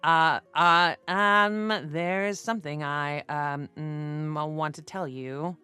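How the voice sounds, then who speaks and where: A woman's voice: low-pitched, fairly even intonation, slow, pushed and bright, clean tone, soft background music, full character voice. A woman narrator voicing a flustered, haughty businessman who stammers and pleads in a story. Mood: pleading, hesitant. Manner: hesitant, stammering.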